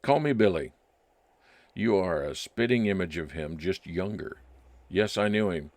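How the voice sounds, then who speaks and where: A man playing a wry, nostalgic private detective, narrating his case deliberately in old time radio style. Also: low-pitched, animated, darkish tone, no room sound. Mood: friendly, inquiring. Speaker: narrator and lead actor.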